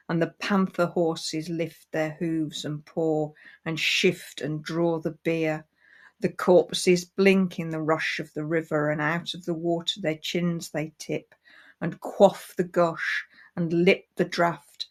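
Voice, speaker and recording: Low-voiced, slow-paced woman. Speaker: guest poetry reader. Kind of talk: casual chat and poetry reading.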